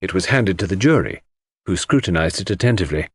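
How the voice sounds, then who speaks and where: A man's voice: low voice, lively intonation, fast talker, husky, no echo. A man reading scripted narration of a classic mystery as an audiobook narrator.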